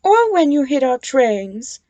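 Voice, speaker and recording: A clever female engine who speaks in a knowing, teasing way, put on by a woman reading a storybook. Her voice sits high and moves a lot. The sound is dry and close. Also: big pitch swings, slow pace, mellow, no room sound, full character voice. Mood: stern.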